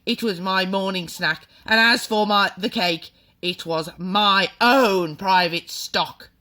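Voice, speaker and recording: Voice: mid-range voice, slow, pitched above the performer's own voice. A reader voicing a furious, bullying headmistress who barks and screams insults at a boy. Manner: barking, shouting insults.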